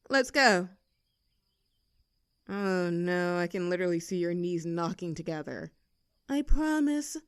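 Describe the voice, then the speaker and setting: Woman acting a high-voiced, taunting mean-girl student bully. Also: smooth, no echo, studio quality. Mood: mock-babying. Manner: mocking, sarcastic, haughty, taunting. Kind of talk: scripted roleplay audio drama.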